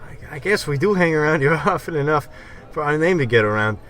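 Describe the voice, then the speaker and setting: A man playing a scrappy, smooth-talking wiseguy pal in slangy, wisecracking 1940s radio comedy style. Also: fairly high pitch, animated, fairly quick, fairly bright tone, soft background music. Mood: indignant.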